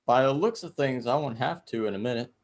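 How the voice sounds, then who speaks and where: A smug male feudal lord, played by a man in a dramatic reading. He has a medium-pitched voice and speaks with suave, formal courtesy. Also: fairly quick, natural voice.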